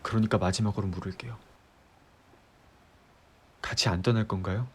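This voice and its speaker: A man playing an earnest, disillusioned young fiancé in an audio drama, delivering a heartfelt, pleading monologue with deliberate pauses. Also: lowish voice, steady tone, slight background noise, close to an everyday voice. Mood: soft, pleading. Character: earnest, disillusioned young male fiance, resolved to leave utopia. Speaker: audio drama creator and voice actor. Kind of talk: Korean ASMR audio drama roleplay. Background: background music.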